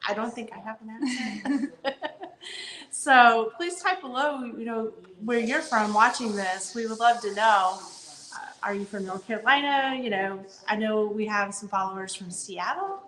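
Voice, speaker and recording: A woman's voice: on the high side, a little slow, a bit bright. A woman from the Midwest hosting a live interview, friendly and curious, asking lots of questions. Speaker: show host. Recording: echoey room with crowd noise behind.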